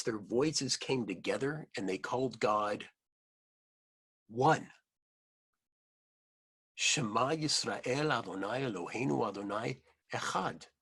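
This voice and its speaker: A man reading a picture book aloud to children, adding simple explanatory asides in an expressive manner. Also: medium pitch, breathy edge.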